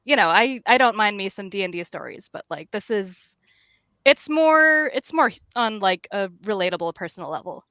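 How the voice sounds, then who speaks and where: A woman comic artist chatting casually about her work, with an animated, self-deprecating, slangy way of talking. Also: mid-range voice, moderate pace, no echo. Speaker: comic artist and writer, guest.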